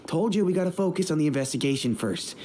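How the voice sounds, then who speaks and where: An actor playing a calm, good-humored male mercenary scout in a game. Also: on the high side, quick pace, a little hiss or hum, close to an everyday voice. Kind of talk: video game cutscene dub.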